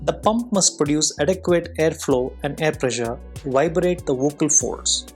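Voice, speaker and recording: An instructor giving an explanatory lesson in a measured, formal, clearly enunciated way. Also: mid-range voice, soft background music. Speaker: soft skills instructor and public speaker.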